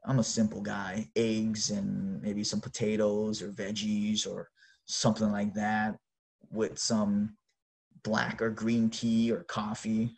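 A man who grew up speaking Japanese hosts a casual solo chat in a mid-pitched voice. He talks to his listeners informally, dropping in slangy exclamations. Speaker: host.

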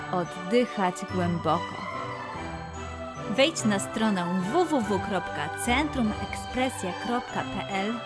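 A woman reading a scripted children's story as narrator, with careful, expressive storytelling. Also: medium pitch. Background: background music.

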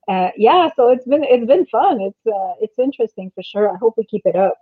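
A middle-aged woman chatting casually in an animated, storytelling way, with thin phone-like audio. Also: fairly high pitch, brisk, slightly rough, no echo. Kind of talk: casual chat.

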